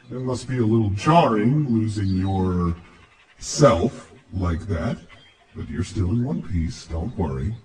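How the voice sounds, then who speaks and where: A man's voice: lowish voice, distant mic, heavily acted. A man voicing a cocky, teasing androgynous dream spirit guide with a sardonic, playful, theatrical manner. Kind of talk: character voice acting.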